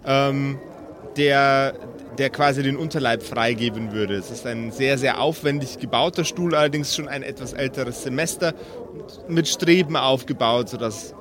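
Male game master, mid-pitched, improvised narration that circles back on its own words.

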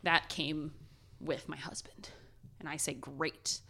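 A nasal woman in her thirties chats as a podcast co-host, relaxed and quick to laugh. Her voice sits a little low for a woman. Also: creaky, a little hiss or hum. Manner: chatty, laughs often. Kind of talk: casual chat.

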